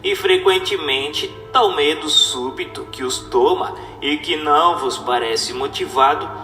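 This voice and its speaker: A man acts out a solemn male spirit teacher, lecturing humankind as in a radio play. The voice sits fairly high and moves very slowly through grave, oratorical phrases. It rings with hall reverb over music. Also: bright, forceful tone. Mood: solemn, didactic. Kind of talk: dramatized reading of a spiritual text, radio drama.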